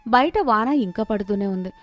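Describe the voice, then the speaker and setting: A woman storyteller giving an expressive scripted narration of a moral tale. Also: mid-range voice, moderate pace.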